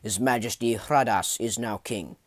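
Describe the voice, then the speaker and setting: A man's voice: fairly low pitch, normal speed, dry recording, natural voice. A man voicing a stern guard in a story, blunt, clipped and official. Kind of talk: audiobook character voice.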